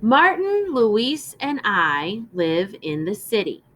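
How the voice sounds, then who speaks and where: A woman teacher reading a children's story aloud in an instructive, deliberate way, as in a lesson for young children. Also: mid-range voice, sing-song, a bit bright, a little hiss or hum. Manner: instructive, deliberate, prompting listeners with questions.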